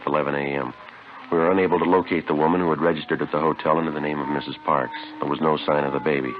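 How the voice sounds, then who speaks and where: An actor playing a deadpan, clipped police detective in a 1950s radio crime drama. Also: male voice, a bass voice. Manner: clipped, deadpan, matter-of-fact. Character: terse, deadpan homicide police detective narrating his case. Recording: muffled 1950s radio recording.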